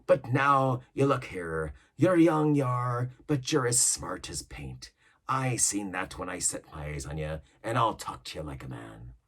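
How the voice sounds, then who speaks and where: A man's voice: lowish voice, very animated, measured pace, fairly bright tone, exaggerated voice. A man voicing a scheming older pirate cook who talks in a coaxing, boastful seaman's way. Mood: wheedling.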